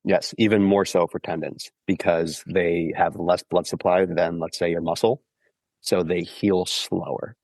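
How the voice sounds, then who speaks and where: Deep-voiced, congested man explaining patiently. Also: fairly even intonation, unhurried, gravelly, a little mellow, no echo, poor audio. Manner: explains patiently, with many "like" and "okay?" check-ins. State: congested from flu. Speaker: podcast guest, running injury expert. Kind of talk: casual explanatory podcast talk.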